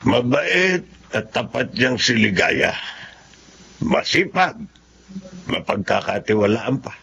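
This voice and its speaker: A man acting a calm, cautious, ailing middle-aged husband in a Filipino radio drama. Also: medium pitch, slow, gravelly, breathy edge, full character voice. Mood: calm, approving. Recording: muffled recording with faint noise.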